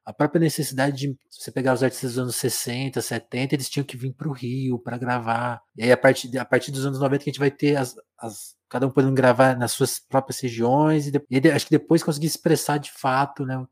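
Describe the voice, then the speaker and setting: A man's voice: mid-range voice, steady tone, fast talker, hardly any pauses. A man interviewing a musician, asking long rambling questions that he keeps rephrasing.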